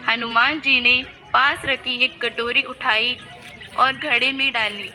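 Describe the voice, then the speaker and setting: A woman's voice: sits high, fairly even intonation, slow pace, pushed and bright, faint music behind. A woman narrating a scripted story as a storyteller.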